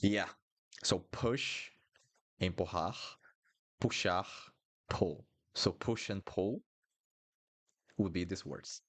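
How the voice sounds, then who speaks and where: Brazilian-accented man, lowish voice, live online language lesson, chatty, apologetic, repeating words. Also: slow, pauses often, a bit bright. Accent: Brazilian Portuguese. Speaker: Portuguese teacher. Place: Brasilia.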